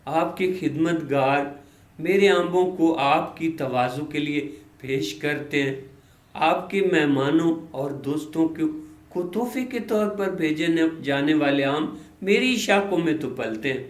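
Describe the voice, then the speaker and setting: A man's voice: fairly high pitch, roomy sound, lightly acted. A man voicing a contented, confident mango tree that speaks courteously in a moral tale. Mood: assured, courteous. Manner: calm, assured, deferential.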